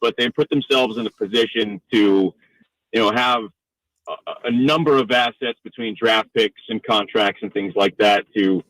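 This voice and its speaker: A man's voice: fairly low pitch, normal speed, fairly bright tone, no room sound, poor audio. A man from the Northeastern United States, a sports announcer, talking conversationally and wordily as a radio interview guest.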